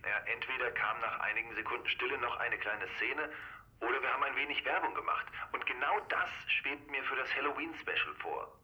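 Very bright, sharp-voiced man. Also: mid-range voice, lively intonation, brisk, poor audio. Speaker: podcast contributor and audio drama writer. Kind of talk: conversational scripted phone call.

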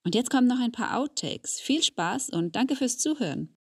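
A woman's voice: a little high, animated, moderate pace, noisy background. A woman reading scripted closing credits as a podcast announcer.

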